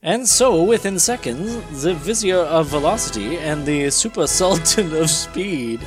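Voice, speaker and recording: A man with a fairly high, very lively voice narrates as a comedy host. He slips between dramatic reading and jokey casual banter, with music clearly behind him. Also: measured pace.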